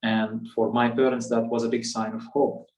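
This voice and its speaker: A man in his thirties with a Palestinian accent, a native Arabic speaker, telling a personal story in a measured, halting way. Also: mid-range voice, level tone, a little mellow. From West Bank, Palestinian territories. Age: 30s. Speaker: guest speaker. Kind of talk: personal storytelling in a public talk. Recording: echoey room, distant microphone.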